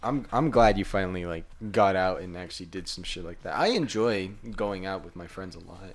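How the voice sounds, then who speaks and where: A man's voice: deep voice, animated, a little fast. A young man co-hosting a podcast, telling a rambling story in casual, swearing chat. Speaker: podcast co-host.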